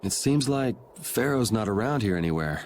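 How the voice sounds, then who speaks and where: A man's voice: fairly low pitch, animated, normal speed, a little hiss or hum. An actor playing a cool young swordsman in an anime-style game, with a dry, casual, determined manner.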